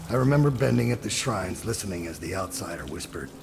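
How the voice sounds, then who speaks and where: A man's voice: sits low, expressive, fast talker, gravelly, loud music behind the voice. A man playing a weary, guilt-ridden assassin in a video game, speaking in a grave, brooding, confessional way. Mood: grave, remorseful. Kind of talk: dramatic video game acting.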